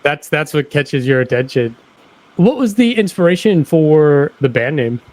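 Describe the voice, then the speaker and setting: A man hosting a podcast interview, relaxed, agreeing often and laughing along. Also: on the high side, unhurried. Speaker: podcast host. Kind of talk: casual interview.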